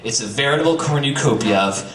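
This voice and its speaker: A man's voice: medium pitch, expressive, fast talker, recorded from a distance. A man doing a comedic live reading for an audience, theatrical, with dry joking asides. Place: bistro live show with audience. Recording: echoey, noisy live stream from off mic.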